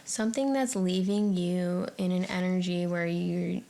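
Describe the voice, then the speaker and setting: A woman's voice: lowish voice, fairly even intonation, unhurried, creaky, dark tone. A woman giving an unscripted tarot forecast straight to the viewer, musing aloud in a tentative way as she interprets each card. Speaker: tarot reader and channel host.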